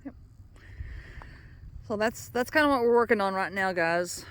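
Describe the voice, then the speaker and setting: An older woman gives a casual vlog update outdoors. She talks in a folksy, halting, unhurried way, and her voice is a touch worn by a bronchial bug she is getting over. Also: medium pitch, lively intonation, fairly bright tone. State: recovering from a bronchial illness, voice slightly low. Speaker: vlog co-host. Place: outdoors on a hilltop.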